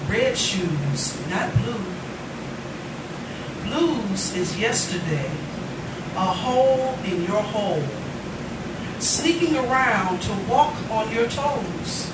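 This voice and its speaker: Middle-aged woman, slow measured poetry delivery, dramatic pauses, highish voice, noisy cafe room. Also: expressive, distant mic. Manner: deliberate, dramatic phrasing with pauses. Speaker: poet, featured reader. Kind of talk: live poetry reading. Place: indoor cafe reading. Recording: noisy, echoey room recorded from afar.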